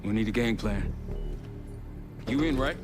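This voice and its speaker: A man's voice: medium pitch, animated, a little slow, close to an everyday voice. An actor playing a terse, commanding male leader of a covert team in a video game cutscene. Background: music.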